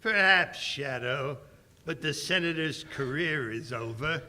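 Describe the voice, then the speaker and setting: An actor playing a cocky male blackmailer in the style of a 1940s radio drama, smug and deliberate. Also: on the high side, animated, pushed and bright, exaggerated voice. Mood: defiant.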